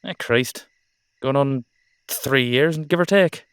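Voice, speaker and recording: A man's voice: medium pitch, takes it slowly, clear, resonant tone, no echo, pitched above the performer's own voice, lightly acted. A man voicing an exasperated, foul-mouthed Irish dullahan horseman in an audio roleplay drama, blustering and complaining with an Irish accent. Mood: defensive.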